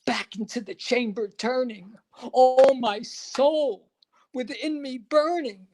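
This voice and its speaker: A woman giving a theatrical dramatic recitation of poetry, with halting, drawn-out phrasing and heavy pauses. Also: sits high, dark tone. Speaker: performer at a live dramatic reading.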